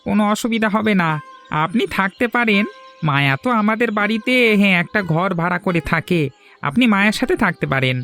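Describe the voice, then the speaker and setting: A man's voice: sits high, lively intonation, brisk, sharp tone, exaggerated voice. A man voicing an eager, hospitable street-food seller in a Bengali cartoon. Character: friendly, coaxing street-food stall owner. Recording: music behind the voice.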